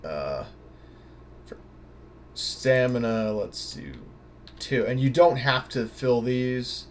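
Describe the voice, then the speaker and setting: A man giving a casual, unscripted tutorial in a hesitant, halting way, thinking aloud as he goes. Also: mid-range voice, big pitch swings, slight background noise. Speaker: tutorial presenter.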